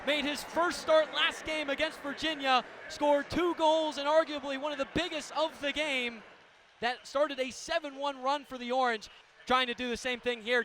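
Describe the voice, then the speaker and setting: Male sports play-by-play, very high register, short live-action phrases, noisy outdoor broadcast. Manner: clipped present-tense phrases, rising to excited calls. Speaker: play-by-play radio announcer. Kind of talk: live lacrosse radio commentary. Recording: noisy outdoor stadium ambience.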